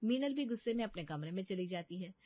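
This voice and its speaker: A woman's voice: medium pitch, lively intonation, quick pace, no room sound. A woman narrating a scripted story as a storyteller, close to the microphone. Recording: slightly dull, dry studio sound.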